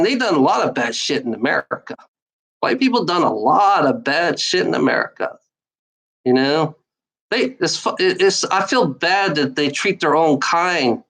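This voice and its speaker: A woman's voice: fairly low pitch, low-quality recording. Woman ranting, cursing and repeating herself. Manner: angry, profane, repeats phrases, halting restarts. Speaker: online commenter. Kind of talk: ranting monologue to camera.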